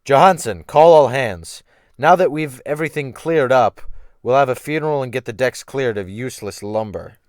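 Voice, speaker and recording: A man's voice: medium pitch, animated, normal speed, a little mellow, no room sound, clean studio sound, natural voice. A man performing a cruel, domineering sea captain who barks orders and mocks, in an audiobook drama. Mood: commanding. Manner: barking orders, domineering, mocking. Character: cruel, commanding sea captain, cold and brutal.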